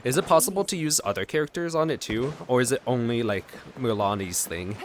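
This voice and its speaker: A man's voice: medium pitch, lively intonation, a little fast, slight background noise. A man giving casual reaction commentary while watching a video game story.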